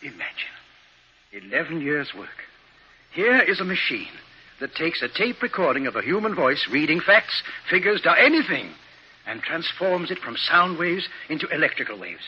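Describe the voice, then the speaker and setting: An actor playing an eager, proud older scientist in a theatrical, hesitant 1950s radio drama style. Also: male voice, a little high, very animated, normal speed. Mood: proud, musing. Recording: muffled, noisy 1950s radio recording.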